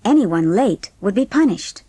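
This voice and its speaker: A woman narrates a storybook in scripted audiobook style. Her voice sits at a middle pitch, and the sound is dry with no room echo. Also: animated, a little slow, mellow, husky.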